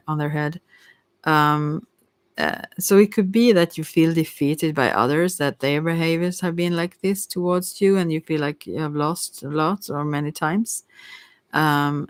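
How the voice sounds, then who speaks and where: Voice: mid-range voice, no echo. Hesitant tarot reader, very dry close sound. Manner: hesitant, rambling, musing delivery. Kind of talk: casual ASMR tarot reading.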